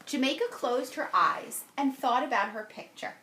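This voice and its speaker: A woman's voice: high voice, normal speed, bright, forceful tone, slight background noise. A woman who works as a teacher, reading a children's picture book aloud expressively. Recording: roomy, echoey home-style recording.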